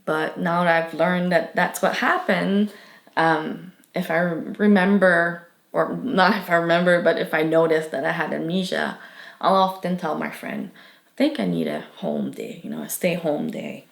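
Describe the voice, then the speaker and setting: A woman's voice: lowish voice, animated, measured pace, gravelly, reverberant. A young woman with a French accent, a native French speaker, answering interview questions earnestly and thoughtfully, with many fillers and restarts.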